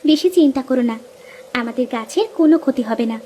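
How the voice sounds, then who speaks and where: A woman's voice: high voice, fast talker, dark tone, slightly rough. A woman voicing a blunt, practical farmer's wife in a Bengali cartoon folk tale. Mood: reassuring. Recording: noisy ambience behind the voice.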